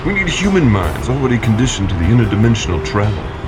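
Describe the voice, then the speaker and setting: A man's voice: on the low side, big pitch swings, quick pace. A man playing a flippant, mocking villain who talks like a casual businessman in an audio drama, with dramatic music behind. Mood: flippant gloating. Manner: mocking, chuckling, casual slangy taunts. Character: smug, flippant male villain, cold-blooded businessman. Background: dramatic incidental music.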